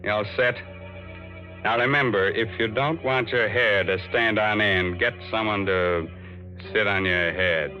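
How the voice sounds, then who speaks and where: An American man hosting a 1940s radio horror show, scripted, teasing and playfully macabre with deliberate pauses. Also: fairly low pitch. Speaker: host introducing a horror drama. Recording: muffled vintage radio recording with music behind.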